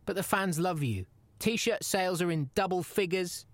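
A man's voice: high-pitched, moderate pace, no room sound, lightly acted. An actor playing an exasperated, mock-serious podcast interviewer pressing his wrestler friend in an audio comedy, insistent and pleading. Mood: concerned. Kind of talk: comedy audio drama dialogue.

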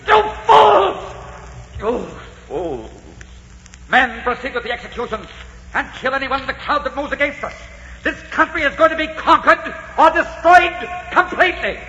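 An actor playing a proud Spanish conquistador commander in love, in melodramatic 1940s radio style. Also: male voice, very high voice, sing-song, moderate pace, full character voice. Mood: furious, commanding. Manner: melodramatic, swinging from bellowed orders to hushed tenderness. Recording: muffled, noisy 1940s radio recording.

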